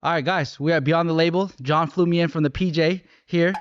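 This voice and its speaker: A man in his thirties from Atlanta hosts a loose podcast interview, talking quickly and slinging easy, joking slang. His voice sits a little high, and the dry sound is slightly muffled. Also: fairly even intonation, clean tone, dry recording. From Atlanta, USA. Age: 30s. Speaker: podcast host.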